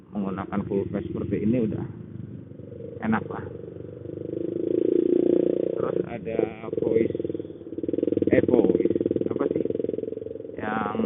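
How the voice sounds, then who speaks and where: An Indonesian man giving a casual, unscripted gear review while riding a motorcycle, halting often as he searches for words. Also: fairly low pitch, gravelly. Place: outdoors on a motorcycle in traffic. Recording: tinny phone-like helmet intercom, loud road noise. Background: loud vehicle and road noise.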